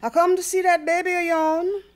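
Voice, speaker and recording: An actress playing a cruel, haughty plantation mistress with a Southern US accent, speaking in an imperious, threatening way in a radio drama. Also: female voice, very high voice, flat delivery, moderate pace. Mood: icy, menacing.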